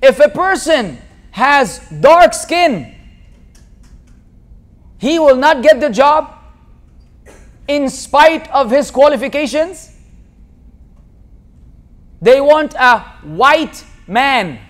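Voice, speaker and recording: A man with a strikingly high-pitched voice lectures from a table in a hall, speaking English with an American-sounding accent. He talks with lively energy and acts out the people he quotes. A small tabletop mic picks him up. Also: drawn-out words, long pauses. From Lebanon. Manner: animated, gesturing with both hands, acts out quoted people. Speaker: Islamic lecturer. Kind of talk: public lecture. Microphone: small tabletop mic on a tripod.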